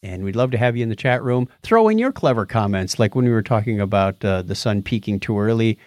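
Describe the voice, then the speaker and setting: Animated man hosting a casual podcast. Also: on the low side, brisk, no room sound, clean studio sound. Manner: animated, conversational storytelling. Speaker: podcast host.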